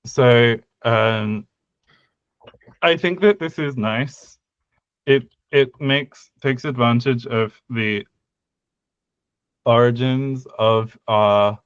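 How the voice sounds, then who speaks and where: A man's voice: medium pitch, clean tone, no room sound, highs cut off. A young man co-hosting a casual podcast about language design, thinking aloud with many fillers and restarts. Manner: hesitant, lots of fillers and restarts. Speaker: podcast co-host. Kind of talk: casual conlang design discussion.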